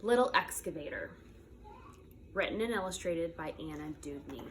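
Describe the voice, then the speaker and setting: A woman preschool teacher reading a picture book aloud in a playful, expressive way, drawing out the sound words. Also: sits low, slight background noise, low-quality recording. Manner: animated, playful, drawn-out sound words and repetitions.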